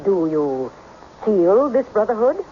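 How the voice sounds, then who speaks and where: A woman playing a mysterious, imperturbable self-styled sister to all mankind in a radio mystery, speaking formally and deliberately with quiet menace. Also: mid-range voice, very animated. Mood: cryptic, unruffled. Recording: dull, noisy old radio recording.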